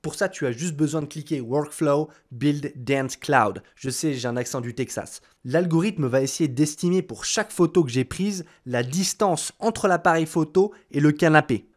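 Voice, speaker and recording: A young man talking the viewer through a software tutorial in a casual, joking, chatty way, as if to a friend. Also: medium pitch. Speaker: tutorial host. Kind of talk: casual screen-recorded tutorial.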